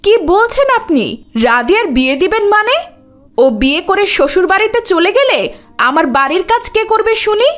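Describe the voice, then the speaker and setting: A woman voicing a scornful middle-aged stepmother in an Islamic cartoon, nagging with sharp rhetorical questions. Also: very high-pitched, big pitch swings, moderate pace, pitched above the performer's own voice, exaggerated voice.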